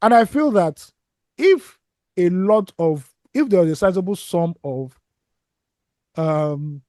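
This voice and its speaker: Mellow-voiced man, rambling podcast chat. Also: high voice, lively intonation, takes it slowly, dry recording, studio quality. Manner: discursive, restarts, drops to a mutter at phrase ends. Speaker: podcast co-host.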